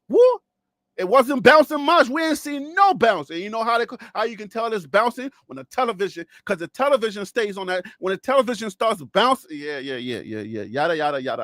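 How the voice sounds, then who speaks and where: High-voiced joking man with a dry sound. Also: fairly bright tone, no echo. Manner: rambling, joking, laughs often, talks to viewers. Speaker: sports show host. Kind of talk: casual solo sports talk with jokes.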